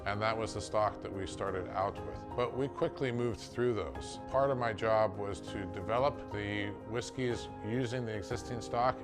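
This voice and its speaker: A man from Portland, Oregon, with an American accent, talking about making whiskey in a documentary interview, thoughtful and enthusiastic. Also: lowish voice. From Portland, Oregon, USA. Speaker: distillery's first employee, whiskey maker. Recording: interview with clear music underneath.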